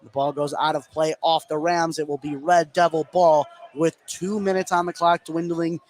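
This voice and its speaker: A man's voice: a little high, flat delivery, moderate pace, slight background noise. A man calling live radio basketball play-by-play in a running narration that follows the action. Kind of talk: live radio sports commentary.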